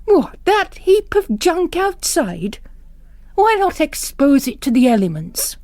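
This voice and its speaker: A woman's voice: high-pitched, big pitch swings, takes it slowly, a little mellow, no echo, pitched above the performer's own voice, heavily acted. A woman voicing a pompous, impatient male bishop with a blustering, emphatic manner, in an audiobook-style drama. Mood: impatient, brisk.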